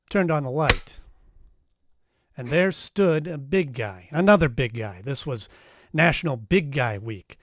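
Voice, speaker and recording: An actor playing a tough, wry private detective in a 1940s-style radio drama, narrating and trading lines. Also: male voice, medium pitch, no echo.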